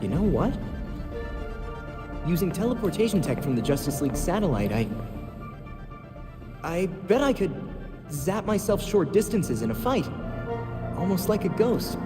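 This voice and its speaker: A man's voice: high voice, very animated, unhurried, pauses often. A man playing a curious, bookish young hero in a video game, musing aloud with eagerness. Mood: excited. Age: young adult. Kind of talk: video game cutscene dialogue. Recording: long cavernous reverb under music.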